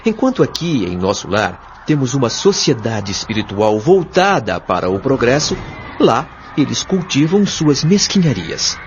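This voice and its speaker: Man acting as solemn, expressive Brazilian spirit mentor. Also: medium pitch, measured pace, a little hiss or hum. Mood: calm, authoritative. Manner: didactic, articulate, solemn. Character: patient, authoritative male spirit guide and mentor. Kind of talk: audio drama acting, expository dialogue. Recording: dull lo-fi audio over music.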